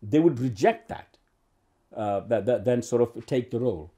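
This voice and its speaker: An older man, born in Uganda, talks through his acting career, seated in a living room. His voice is very dark and mellow, and he circles back with restarts and filler sounds as he thinks aloud. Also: medium pitch, lively intonation, normal speed. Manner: rambling, many restarts and fillers. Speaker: actor interviewee. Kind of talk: oral history interview. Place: living room with bookshelves and sofa.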